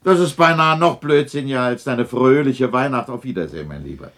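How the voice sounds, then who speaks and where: A man's voice: mid-range voice, animated, a little fast, fairly bright tone, natural voice. A man playing a hard-hearted, miserly old businessman in a radio drama, curt, scornful and dismissive. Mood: mocking. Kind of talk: 1960s German radio drama acting.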